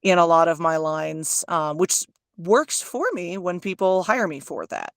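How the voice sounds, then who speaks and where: A woman with a low register and a constant gravelly fry in her voice, talking candidly and with self-deprecating humor. Her speech is loose and chatty, full of fillers and quick restarts. The sound is dry and close, with no room around it. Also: very animated, no echo. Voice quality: low voice with heavy vocal fry. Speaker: audiobook narrator. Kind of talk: casual interview answers.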